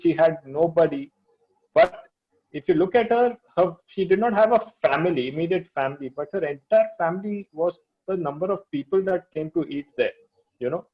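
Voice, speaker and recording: An Indian-accented man speaks as a guest storyteller in a casual, conversational way. His voice sits a little high, and the recording is somewhat dull and lo-fi. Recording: dull lo-fi audio.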